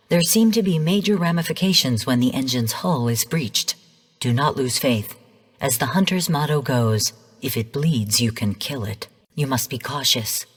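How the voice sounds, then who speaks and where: An actress playing a female artificial intelligence in a video game, speaking in a measured, formal, clinical manner. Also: rumbling low, slightly rough, heavily acted. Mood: commanding, encouraging. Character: calm female rogue artificial intelligence mentor turned genocidal overseer. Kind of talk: scripted video game voice lines. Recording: long hall-like reverb.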